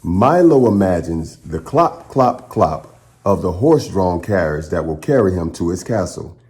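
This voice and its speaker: Male kids' storytime host, lowish voice, very lively intonation, encouraging and expressive. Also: unhurried. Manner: encouraging, expressive, addresses children directly. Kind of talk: children's read-aloud and upbeat chat to young viewers.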